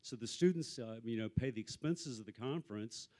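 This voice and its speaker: A man's voice: medium pitch, brisk, dark tone. Matter-of-fact man with a mid-range voice. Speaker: conference participant. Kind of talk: informal explanation from experience.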